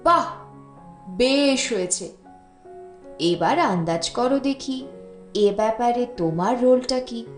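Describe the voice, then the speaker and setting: A woman's voice: a little high, animated, slow, pauses often. A woman voicing a breezy, well-off young man who banters and coaxes an old friend in an audiobook story. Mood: teasing. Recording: echoey room, music bed underneath.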